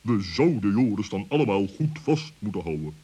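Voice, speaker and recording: A husky, fairly low animal character, played by a man in a children's radio play. He is solemn and formal, with deliberate wording. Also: sing-song, warm tone, muffled recording.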